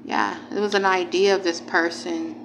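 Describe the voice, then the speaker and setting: A woman's voice: on the low side, fairly even intonation, moderate pace. A woman giving a casual spiritual tarot reading, informal and emphatic, repeating phrases and speaking straight to the viewer. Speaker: tarot reader, channel host. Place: indoors at a table. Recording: clearly noisy home recording.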